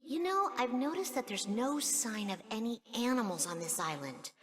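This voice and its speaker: A woman's voice: a little high, lively intonation, normal speed, big-room sound, close to an everyday voice. A woman voicing an earnest, inquisitive young animal sidekick in a video game, asking pointed questions. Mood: suspicious, curious. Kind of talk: video game cutscene acting. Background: music.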